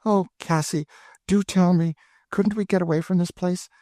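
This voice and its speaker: A man's voice: high-pitched, steady tone, normal speed, warm tone, dry recording, clean studio sound, voice pushed up, close to an everyday voice. A man voicing a frightened young girl who pleads breathlessly. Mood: pleading. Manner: breathless, imploring.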